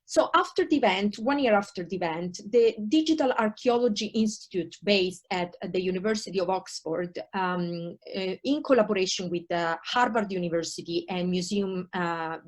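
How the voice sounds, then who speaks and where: A woman's voice: mid-range voice, normal speed, hardly any pauses. Woman, Italian accent, university lecturer, hesitant, frequent ums and uhs, online talk over a computer mic. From Italy.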